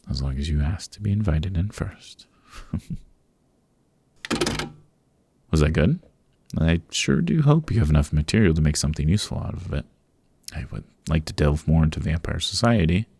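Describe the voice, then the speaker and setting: A very low, husky voice belongs to a proud, sociable old vampire gentleman, acted by a man. He chats with genteel, old-fashioned courtesy in an ASMR roleplay. The sound is close and dry, with a gravelly edge. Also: no echo, exaggerated voice. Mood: gentle, earnest, then warm, gracious. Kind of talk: ASMR roleplay, interview monologue. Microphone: close microphone, dry.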